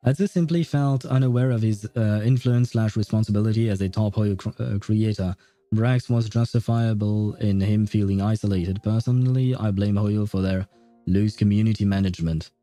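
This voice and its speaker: Mellow-voiced man chatting on a livestream. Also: on the low side, no echo. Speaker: game livestreamer. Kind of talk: casual chat with stream viewers. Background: music.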